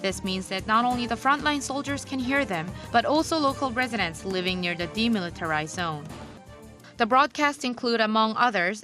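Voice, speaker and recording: A woman's voice: mid-range voice, bright, forceful tone. A woman reporter reading a scripted news feature narration.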